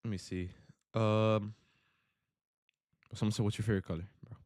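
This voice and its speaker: Young Miami-accented streamer, bro-heavy chatter. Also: male voice, lowish voice, little pitch movement, normal speed, darkish tone, no echo. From Italy. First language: Italian. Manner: rambling, filler-heavy, says bro a lot. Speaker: day trading livestream host. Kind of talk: casual livestream chat with chat readers. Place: home trading setup in Miami.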